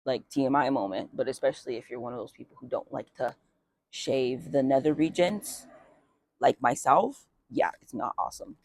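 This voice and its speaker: A young man chatting casually outdoors in a light breeze as a vlogger, with lots of fillers and wry asides. His voice is just starting to deepen early on testosterone. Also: normal speed, gravelly, no echo. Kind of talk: casual life update chat.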